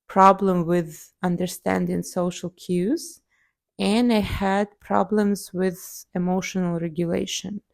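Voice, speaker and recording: A woman's voice: fairly low pitch, gravelly, darkish tone, no echo, clean studio sound. A young adult woman with a Russian accent, telling her personal story in a casual video diary, full of fillers. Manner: conversational, many fillers like "like" and "um". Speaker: vlogger, psychologist.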